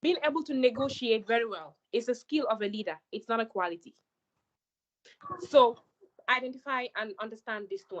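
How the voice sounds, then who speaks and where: A woman's voice: a little high, fairly bright tone, lo-fi sound. A young Gambian woman with a Gambian accent, a scholarship mentor giving earnest, direct advice to applicants and repeating words for emphasis.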